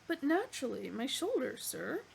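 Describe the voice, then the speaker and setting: A woman's voice: sits high, big pitch swings, normal speed, vocal fry, slight background noise, voice pushed up, full character voice, poor audio. A woman voicing a polite, eager male beast that offers itself as a meal, in a courteous waiter-like manner. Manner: courteous waiter-like salesmanship, contented.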